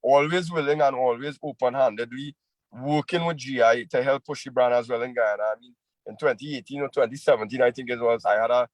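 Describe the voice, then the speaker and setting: A man's voice: mid-range voice, brisk, no echo, rough recording. A man with a Trinidadian accent, a singer speaking earnestly as a guest on a video call, often repeating words as he goes. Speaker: chutney soca singer, guest. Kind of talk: casual interview over a video call.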